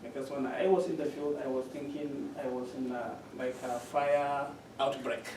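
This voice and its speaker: A man on a university panel giving measured advice, pausing often with fillers. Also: mid-range voice, fairly even intonation. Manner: measured, with frequent pauses and fillers. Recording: echoey room from far off, with noise.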